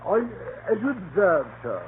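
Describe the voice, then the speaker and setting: An actor playing a formal, unflappable butler, dry and deferential, in a 1930s radio drama. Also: male voice, sits high, very animated, normal speed, crowd noise. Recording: scratchy, narrow-band 1930s radio broadcast.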